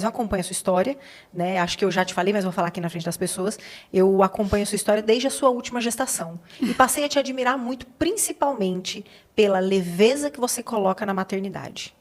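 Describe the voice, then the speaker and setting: A Brazilian woman with a mid-pitched voice hosts a conversational podcast. She speaks informally and affirms her guest with an encouraging manner. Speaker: podcast host. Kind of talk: casual interview conversation.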